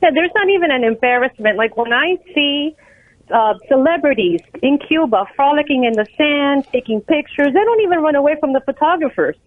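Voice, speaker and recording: A woman's voice: sits high, expressive, a little fast, no room sound. An American woman calling a radio show over a phone line, talking earnestly and emphatically about politics. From United States. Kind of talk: earnest political call-in talk. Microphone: telephone.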